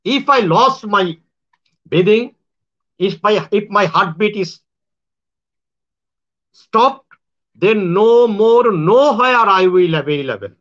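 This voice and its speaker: Halting Bangladeshi man, high voice. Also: expressive, a bit bright, lo-fi sound. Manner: halting, repetitive phrasing with frequent restarts. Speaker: astrologer and self-styled spiritual speaker. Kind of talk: monologue to viewers.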